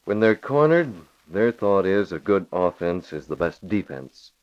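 An actor playing a masked crime-fighting young publisher in a 1940s radio drama, clipped and commanding. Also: male voice, low voice, big pitch swings, a little slow. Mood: determined. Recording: dull lo-fi old radio recording.

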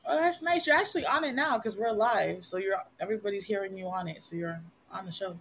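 A woman's voice: medium pitch, quick pace, slight background noise. A woman from Puerto Rico hosting a live online show, chatting casually and rambling with frequent laughs. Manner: rambling, animated, laughs often. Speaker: livestream host. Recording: loud music behind the voice. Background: music playing.

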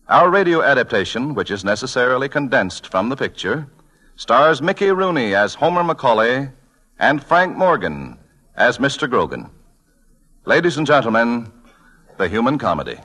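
Male 1940s radio announcer, grand, formal, bright forceful tone, very animated pitch. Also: moderate pace. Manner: grand, declamatory.

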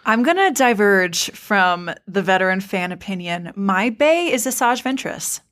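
A woman's voice: medium pitch, animated, measured pace, a bit bright. A woman hosting a podcast, giving articulate, emphatic opinions in a casual fan discussion. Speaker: podcast host.

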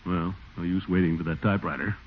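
An American actor playing a pompous, excitable middle-aged small-town bachelor in an old-time radio comedy, with a blustery, theatrical delivery and nervous chuckles. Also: male voice, sits low, quick pace, faint music behind, close to an everyday voice. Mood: self-satisfied musing. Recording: thin, narrow-band vintage radio recording with noise.

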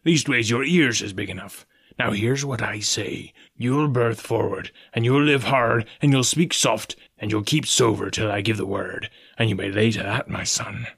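A man's voice: on the low side, normal speed, creaky, a bit bright, husky, full character voice. A man voicing a cunning, domineering pirate cook from a storybook, scolding and scheming in rustic seafaring speech. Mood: stern, commanding.

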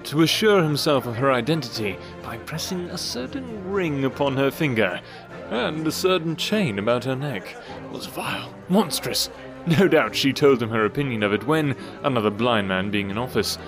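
Male narrator, amused and theatrical, highly animated scripted storytelling over prominent music.

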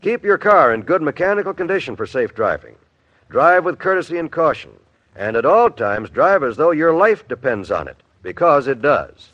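A man reading a scripted public service message as a radio announcer, in an earnest, deliberate, formal manner. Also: on the high side, sing-song, a bit bright, no room sound. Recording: dull, band-limited old radio recording.

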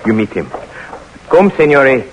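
An actor playing a solemn, secretive foreign guide who speaks terse, halting English, in 1940s radio drama style on an old recording. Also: male voice, mid-range voice, sing-song, noisy background.

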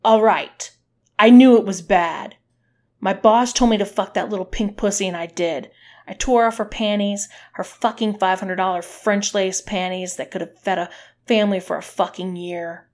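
A woman acts out a guilt-ridden man in an audiobook drama. He is submissive and agitated, confessing intensely in a creaky voice. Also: lowish voice, fairly even intonation, unhurried. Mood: agitated, shouting.

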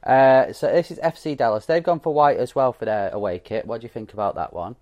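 A man's voice: medium pitch, a little fast, a little mellow. A young British man co-hosting a casual football podcast, chatty and hesitant, with lots of ums and restarts. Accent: British English. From Britain. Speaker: podcast co-host.

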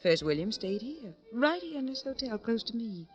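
Lonely society flirt, arch, theatrical, very animated pitch, vintage radio drama, muffled with music. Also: female voice, moderate pace. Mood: impassioned, wistful. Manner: arch, dramatic, poetic phrasing. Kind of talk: 1950s radio drama acting. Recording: dull old radio recording with music.

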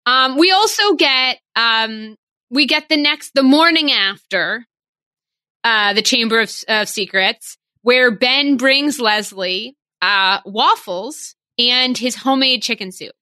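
A bubbly young woman in her twenties chatting on a podcast, with a bright, forceful voice pitched a little high. She talks in quick bursts and laughs often, recorded dry and close with no room sound. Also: dry recording. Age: 20s. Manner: bubbly, laughs a lot, fast bursts of talk. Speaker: podcast co-host. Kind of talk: casual chat.

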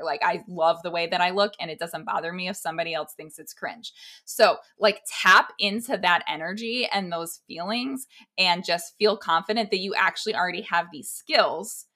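Woman podcast cohost, kinda lowish but bright voice, casual encouraging self-help chat. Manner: encouraging, conversational, voices imagined inner thoughts. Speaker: podcast co-host.

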